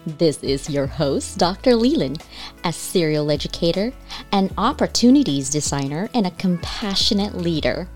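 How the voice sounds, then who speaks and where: A woman's voice: lowish voice, animated, normal speed, faint music behind. A woman hosting an education podcast, reading a scripted opening and then asking casual, eager questions. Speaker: podcast host. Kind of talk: podcast intro and interviewing.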